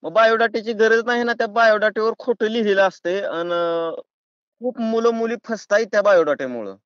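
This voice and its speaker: A man's voice: high-pitched, brisk, a bit bright, no echo. A man with a rural Maharashtrian accent talking in a pushy, folksy, argumentative way on a prank phone call. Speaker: prank caller. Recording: dull, lo-fi phone call recording.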